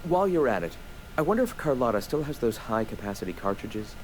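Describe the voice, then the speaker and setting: An actor voicing a genteel, eccentric rebel leader in a video game, speaking in a wordy, theatrical, idealistic way. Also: male voice, mid-range voice, big pitch swings, quick pace, a little hiss or hum, close to an everyday voice, poor audio. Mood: musing, enthused. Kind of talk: video game dialogue acting.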